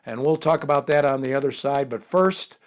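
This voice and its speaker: A man's voice: mid-range voice, a little fast. A middle-aged man hosting a sports show, talking casually and interviewing a guest, with many fillers and restarts.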